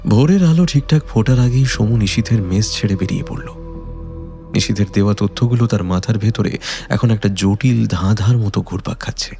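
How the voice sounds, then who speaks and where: A man narrates a suspense story in a low, dark voice with a husky, gravelly edge. His delivery is measured and dramatic, over soft background music.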